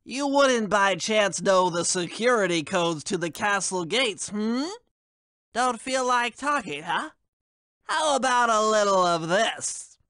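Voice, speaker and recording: An actor playing a nerdy, theatrical turtle mastermind in a video game, wordy and pedantic. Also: male voice, high voice, expressive, slow, sharp tone, clean tone, no echo, clean studio sound, heavily acted. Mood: interrogating.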